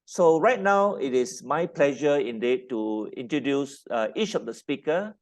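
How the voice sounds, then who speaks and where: A man's voice: mid-range voice, animated. Formal male panel moderator. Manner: formal, with filler pauses.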